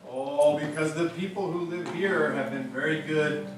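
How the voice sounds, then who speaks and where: Man as jolly old gift-giver, gentle, fairly high male voice, puppet show, very echoey. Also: normal speed, noisy background, close to an everyday voice. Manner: gentle, reassuring, explaining. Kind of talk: children's puppet show acting. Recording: echoey, distant, music behind.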